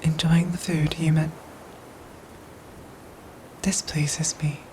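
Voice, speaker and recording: A woman voicing a gentle, dutiful android soldier in an ASMR roleplay, with soft breathy whispers and formal, measured phrasing. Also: sits low, level tone, a little hiss or hum. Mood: gentle, calm. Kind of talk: ASMR roleplay audio drama.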